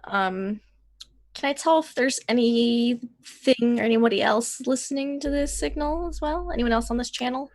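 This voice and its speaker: High-voiced woman in a tabletop game. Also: normal speed, a little mellow, no room sound. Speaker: player in a tabletop role-playing game. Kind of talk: casual in-character tabletop chat.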